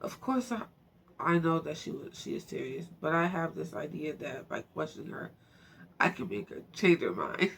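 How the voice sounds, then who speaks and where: A woman's voice: sits low, lively intonation, moderate pace, gravelly, fairly bright tone. A woman reading a book aloud informally, stumbling over words and breaking off to muse to herself in chatty asides. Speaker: amateur book narrator. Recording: faint background noise.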